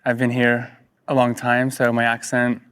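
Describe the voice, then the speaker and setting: A man with a Liverpool accent, speaking slowly and hesitantly. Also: mid-range voice, monotone, a bit bright, slight background noise.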